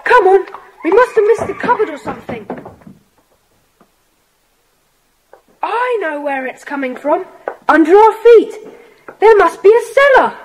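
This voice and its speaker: Young schoolboy, very high pitch, lively swoops, earnest, children's audio drama. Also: female voice, normal speed, slight background noise, poor audio. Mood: tense, excited. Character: Jack, earnest schoolboy in a secret club.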